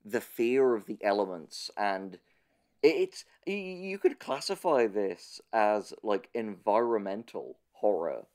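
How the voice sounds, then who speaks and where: A man's voice: on the low side, animated, slow pace, a little mellow. A man in a conversational analytical discussion, speaking thoughtfully with hesitant, stammering starts as a podcast host.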